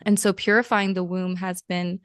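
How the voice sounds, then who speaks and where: A woman's voice: lowish voice, flat delivery, normal speed, no room sound, studio quality. A young woman who grew up between Lithuania and the US, talking earnestly and meanderingly as a podcast guest, with frequent fillers. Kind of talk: casual interview conversation.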